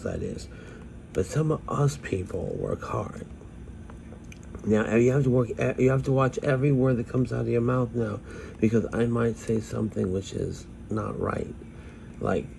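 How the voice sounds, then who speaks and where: Weary street fortune-teller woman, a man in character, dark gravelly voice, plaintive phone-camera monologue, faint room hiss. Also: lowish voice, low-quality recording. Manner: pleading, plaintive. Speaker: vlogger in character. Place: small room indoors. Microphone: phone at arm's length.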